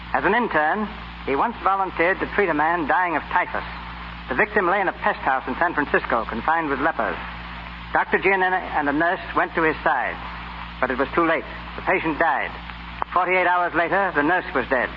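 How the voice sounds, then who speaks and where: Muffled, faintly noisy vintage broadcast: formal male announcer, oratorical scripted delivery. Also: on the high side. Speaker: radio announcer. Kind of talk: 1930s scripted radio narration. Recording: muffled 1930s radio broadcast with hiss.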